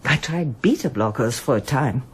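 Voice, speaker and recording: An older woman in a radio drama, reserved and wistful. Her voice sits low and has a husky grain. She speaks hesitantly and with understatement, as a one-time performer who keeps much back. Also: animated, normal speed, heavily acted. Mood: subdued, confiding. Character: reserved older woman, former pianist and child refugee, wistful. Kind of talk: radio drama acting, monologue and dialogue.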